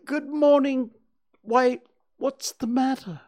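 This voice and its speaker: A man voicing a kindly, simple-minded male teddy bear in a high, bright, innocent voice. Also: steady tone, slow. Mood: cheerful.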